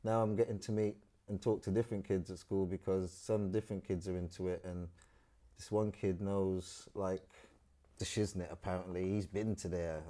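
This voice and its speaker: A middle-aged man from Trinidad tells stories in a guest chat. His voice sits low and his speech is animated and full of street slang. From Trinidad and Tobago. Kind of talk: casual storytelling interview.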